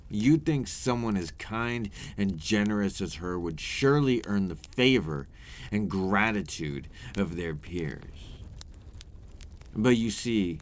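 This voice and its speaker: A brooding, remorseful vampire captor played by a man speaks close to the mic in a very low voice. He tells his story slowly and formally, like a weary confession in an ASMR roleplay. A noticeable low rumble sits behind him. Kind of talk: intimate ASMR roleplay monologue.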